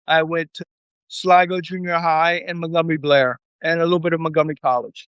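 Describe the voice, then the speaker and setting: A man as an interview guest, answering in a relaxed, rambling way with frequent fillers. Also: a little high, brisk, clear, resonant tone, no room sound, dull audio. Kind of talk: casual conversation.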